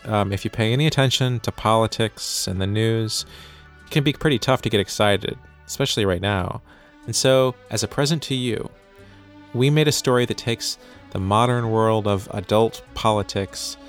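A man hosting a podcast, chatting in a relaxed, conversational way and reading ads with light hesitations. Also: lowish voice. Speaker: podcast host. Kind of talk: chatty intro and ad reads.

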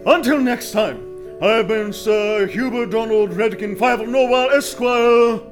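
A man's voice: very high voice, measured pace, faint music behind. A man playing a smug, randy young prince in a comedic radio play, speaking with pompous, mock-regal formality.

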